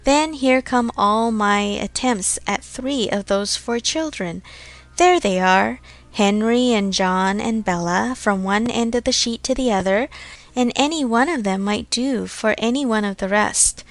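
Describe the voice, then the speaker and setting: A woman's voice: on the high side, unhurried, no echo. A woman voicing a genteel, chatty, self-satisfied young lady of Regency society in a storybook reading. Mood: proud, chatty.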